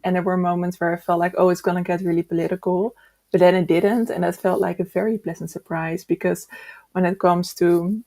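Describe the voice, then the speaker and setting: A woman's voice: fairly low pitch, level tone, a little fast, dark tone. A woman co-hosting a book discussion in a casual, easygoing, chatty way.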